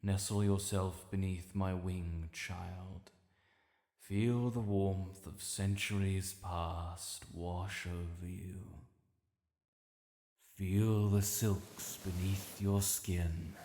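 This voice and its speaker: A British man voicing an ancient dragon lord, regal, stern yet protective, with formal, measured diction in a fantasy audio roleplay. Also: deep voice, dark tone, exaggerated voice. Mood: soothing, protective. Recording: echoey cavernous reverb.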